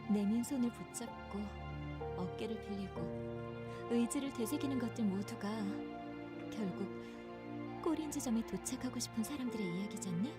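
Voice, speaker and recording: An actress playing a gentle, despairing young woman in a visual novel, with a trembling voice that sounds near tears. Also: a little high, very fast, long pauses, loud music behind the voice, lightly acted. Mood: sorrowful, disillusioned. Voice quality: trembling, breathy at phrase ends. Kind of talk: dramatic visual novel voice acting.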